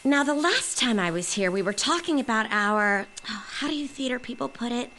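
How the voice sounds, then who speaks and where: A woman's voice: fairly high pitch, lively intonation, a little fast, sharp tone. An actress playing a flirtatious, insistent woman with a coy, suggestive manner in an audio drama. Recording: noise and ambience behind.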